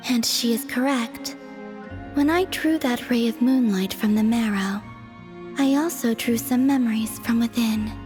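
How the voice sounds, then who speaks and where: A woman plays an aloof young moon goddess from a video game. Her voice is fairly high, soft and airy, and she speaks very slowly with grave, formal detachment. Music plays behind her. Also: close to an everyday voice. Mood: serene, solemn. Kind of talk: video game cutscene voice acting.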